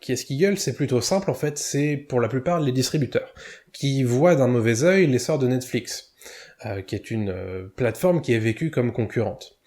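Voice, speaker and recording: A man's voice: medium pitch, expressive, moderate pace, a little mellow. A man commenting casually to his viewers, full of hesitations and self-corrections, with chuckles at his own mispronunciations. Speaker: video commentator.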